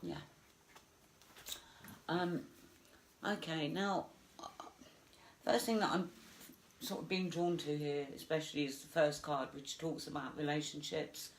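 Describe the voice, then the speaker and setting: A woman's voice: deep voice, steady tone, unhurried, pauses often, a little hiss or hum. A woman with a stuffed-up voice from a flu, giving a chatty live psychic reading with many fillers. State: congested with flu. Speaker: psychic medium.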